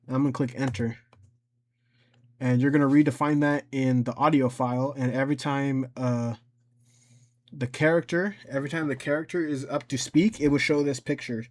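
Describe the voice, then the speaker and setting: A man gives an informal computer tutorial in a mid-pitched voice. He talks off the cuff and haltingly, often repeating a step or correcting his own wording as he goes. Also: fairly even intonation, darkish tone. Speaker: tutorial creator. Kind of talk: casual unscripted screen-recorded tutorial. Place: voice-over for a screen recording.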